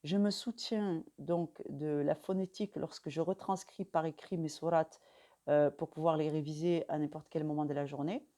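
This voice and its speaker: A middle-aged woman gives a patient spoken lesson to her viewers. Her voice sits fairly low and sounds mellow. She talks in a measured way, pausing often and filling gaps with small hesitations. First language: French. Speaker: religious teacher. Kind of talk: instructional talk to camera.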